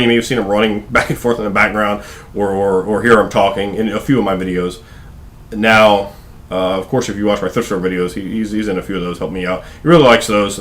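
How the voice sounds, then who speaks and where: A man's voice: on the low side, a bit bright. A man in his thirties from Virginia with a Southern accent, rambling casually to camera in his living room, full of fillers and restarts. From Virginia, USA. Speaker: vlogger. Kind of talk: casual talking-head vlog.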